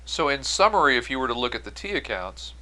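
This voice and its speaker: A man's voice: mid-range voice, sing-song, quick pace, pushed and bright. A man giving an explanatory accounting-style lecture as an instructor, going step by step and stressing key phrases. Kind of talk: explanatory lecture over on-screen notes.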